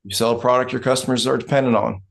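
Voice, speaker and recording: A man's voice: medium pitch, steady tone, very fast, vocal fry, close to an everyday voice. A man playing a blunt, world-weary oil company land man in a script read. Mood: blunt, businesslike. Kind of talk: dramatic script table read, monologue.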